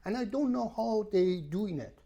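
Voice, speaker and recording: Emphatic non-native English-speaking woman, creaky voice. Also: mid-range voice, expressive, normal speed, dark tone, lo-fi sound. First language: not English. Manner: emphatic, repetitive, exasperated storytelling. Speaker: travel vlogger. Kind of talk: casual rambling rant to camera.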